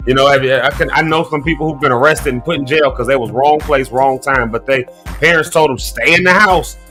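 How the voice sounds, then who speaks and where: A man's voice: mid-range voice, brisk, bright, forceful tone. A man in his thirties hosting a casual morning livestream, talking straight to his viewers in a folksy, preachy way and fighting a cough. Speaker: morning show host. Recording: faint music behind.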